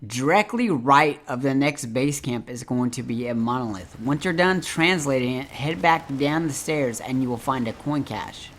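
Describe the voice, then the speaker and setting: Male game guide host, medium pitch, instructional and directive walkthrough delivery. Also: animated, normal speed, few pauses, a bit bright. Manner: step-by-step, directive.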